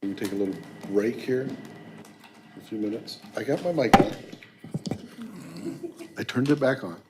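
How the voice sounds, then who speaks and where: A man's voice: fairly low pitch, a little slow, heavy background noise. A man chairing a public meeting, brief and informal, speaking into a table mic from a distance. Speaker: board chair.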